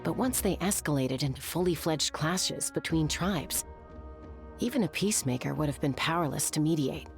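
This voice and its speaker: Creaky, solemn old granny. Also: female voice, deep voice, moderate pace, faint music behind. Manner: solemn, formal. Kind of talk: video game voice acting.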